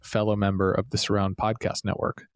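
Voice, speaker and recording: A man co-hosting a podcast, brisk and jokey as he banters and sets up the episode. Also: lowish voice, level tone, clear, resonant tone, no echo, clean studio sound. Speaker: podcast co-host.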